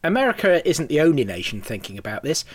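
Man, podcast presenter, animated pitch, husky edge, scripted interviewing style, dry studio sound. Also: fast, no room sound. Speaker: podcast host.

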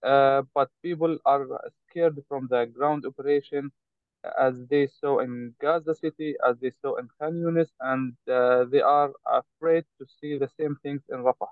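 A man born in Saudi Arabia, speaking haltingly with many hesitations as a guest in an interview. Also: medium pitch, steady tone, clear, resonant tone, no echo. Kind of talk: interview over a call. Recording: tinny choppy call line.

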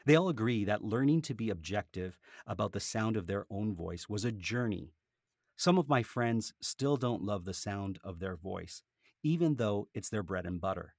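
A man speaking as a podcaster and author, telling things in an animated, conversational way. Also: on the low side, normal speed, dry recording, studio quality. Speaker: podcaster and author, interview guest. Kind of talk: casual conversational interview, with a read-aloud book passage.

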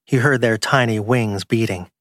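A man's voice: on the low side, normal speed, a bit bright, no echo, studio quality. A man reading crime fiction as a scripted audiobook narration.

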